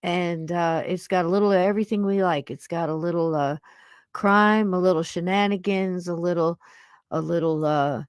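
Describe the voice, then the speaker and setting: A woman in her sixties with a fairly low voice hosts a podcast. She chats in a folksy, affectionate ramble, recorded close and dry. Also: normal speed, clean tone, dry recording. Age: 60s. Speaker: podcast host. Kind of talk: casual chat to live listeners.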